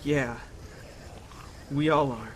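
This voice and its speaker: A woman's voice: very deep voice, very slow, background noise, full character voice. A woman playing a brash, impulsive but protective teenage boy survivor in an audio drama, blunt in manner. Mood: soothing.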